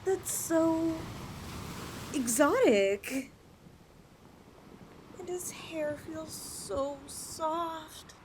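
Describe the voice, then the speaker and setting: A woman's voice: sits high, a little mellow, a little hiss or hum, exaggerated voice. A woman playing a possessive, teasing snake girl in an ASMR audio roleplay, with a coy, cooing delivery. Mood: cooing, fascinated. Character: possessive, teasing young yandere lamia girl, half snake.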